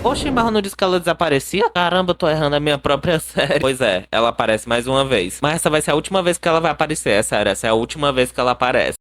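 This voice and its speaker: A man's voice: mid-range voice, a bit bright. A young man with a Brazilian accent narrating a comedic animation video in a playful, self-mocking, mock-dramatic way. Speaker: animator and YouTuber. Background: music.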